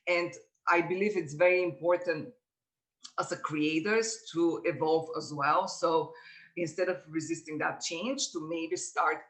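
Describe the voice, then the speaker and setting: A woman in her forties from Bulgaria, with a Bulgarian accent, giving an earnest personal talk to a workshop audience. She speaks in a measured way and pauses often to find her words. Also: low-pitched, fairly even intonation. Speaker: guest speaker.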